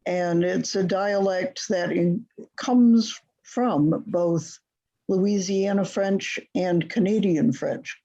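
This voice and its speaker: A teacher leading a conversation class, speaking in a slow, deliberate way with long pauses, over a video call. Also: medium pitch, dark tone, dull audio.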